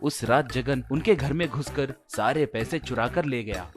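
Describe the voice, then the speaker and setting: A man's voice: mid-range voice, unhurried, music in the background. A man narrating a scripted folk tale as a storyteller.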